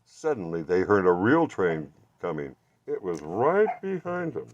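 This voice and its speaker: Male children's storybook narrator: low-leaning voice, expressive, deliberate, very varied pitch. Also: lo-fi sound, slight background noise. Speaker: children's bookstore reader.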